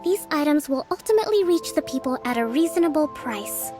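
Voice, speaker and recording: A composed young strategist and leader in a video game. She reasons things through in a measured, even manner, her voice sitting fairly high. Faint game music plays underneath. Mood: reassuring. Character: calm, thoughtful young female strategist leader. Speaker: game character.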